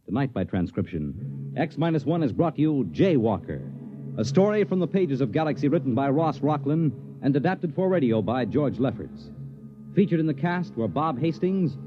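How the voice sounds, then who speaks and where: American man, vintage radio announcer, scripted and animated, dull lo-fi broadcast sound. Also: mid-range voice. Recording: dull 1950s radio broadcast, music behind.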